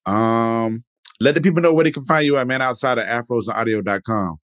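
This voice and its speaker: A man's voice: mid-range voice, brisk, fairly bright tone, dry recording, studio quality. A man hosting a podcast interview, talking loosely with lots of fillers and restarts. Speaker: podcast host. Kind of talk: casual interview chat.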